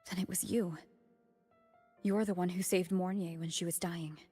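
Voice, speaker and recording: A calm, guarded young heroine from a story game. Her voice is fairly low and very breathy, measured and serious. Faint music sits behind her. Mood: probing. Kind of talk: video game story voice acting.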